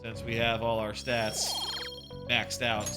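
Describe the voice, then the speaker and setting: A man streams a video game with a medium-pitched voice and loose, chatty commentary. He swears casually and repeats phrases, with game music clearly audible behind him. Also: monotone, slow.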